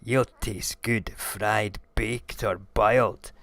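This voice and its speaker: A hungry, hulking Scottish storybook monster, played by a man, menacing yet easily fooled. The voice is low and gravelly, with slow, deliberate phrasing. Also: fairly even intonation, a bit bright, no room sound, exaggerated voice. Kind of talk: storybook character reading.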